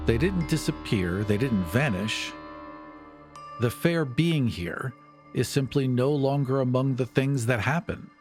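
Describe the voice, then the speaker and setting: A man narrating a tabletop game as game master, with emphatic, vivid and deliberate storytelling. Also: mid-range voice, animated, darkish tone. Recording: music bed behind the voice.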